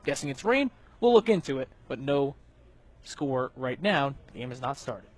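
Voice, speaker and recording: A man's voice: mid-range voice, big pitch swings, normal speed. A man doing live baseball radio commentary as the analyst, in a conversational, analytical way. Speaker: color commentator and scoreboard announcer.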